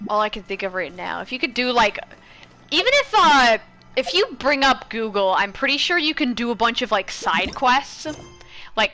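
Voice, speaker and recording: A woman's voice: a little high, bright, forceful tone, rough recording. A young woman chatting casually as she gives gameplay advice, rambling and thinking aloud. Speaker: gaming content creator. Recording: game music behind.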